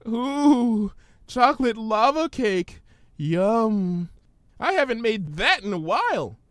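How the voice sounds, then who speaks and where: A giggly, carefree young female cartoon pony voiced by a man. The voice sits very high and bounces all over. Delivery is breezy and exclamatory, in a dry, close recording. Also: sing-song, slow, a bit bright, dry recording, full character voice. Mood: breezy, playful.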